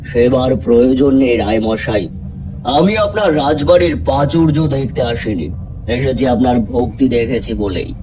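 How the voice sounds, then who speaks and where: A man's voice: mid-range voice, expressive, normal speed, noisy background, close to an everyday voice. A man voicing a solemn, imposing ascetic who warns of doom in a cartoon horror story. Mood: grave, ominous. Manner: solemn, ominous. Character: grave ascetic holy man foretelling doom, imposing and persuasive.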